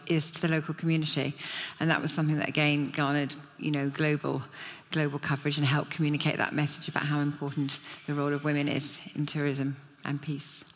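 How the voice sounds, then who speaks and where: Woman panelist, even and level delivery, mid pitch, echoing hall. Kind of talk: panel discussion answer. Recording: echoey hall.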